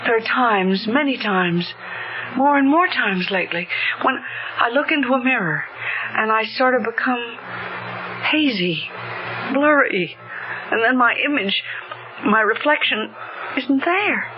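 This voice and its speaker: Anguished, fragile wife, old radio. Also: female voice, fairly high pitch, animated, noisy background. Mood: frightened, despairing. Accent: American. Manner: halting, earnest, drawn-out dramatic delivery. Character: anguished, neglected wife who feels herself fading away. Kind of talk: old-time American radio drama acting. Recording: dull old radio broadcast with music.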